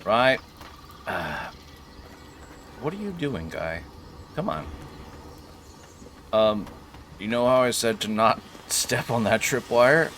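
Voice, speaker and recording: A man's voice: fairly low pitch, expressive, slow, long pauses, fairly bright tone, a little hiss or hum. A man doing casual, joking let's-play commentary, chatting to himself and to game characters. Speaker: gaming streamer. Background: video game ambience.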